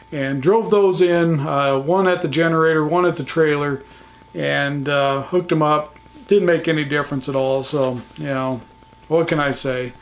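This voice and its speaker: Male vlogger, slightly high voice, easygoing and folksy, chatting plainly through a casual recap for viewers. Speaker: ham radio vlogger.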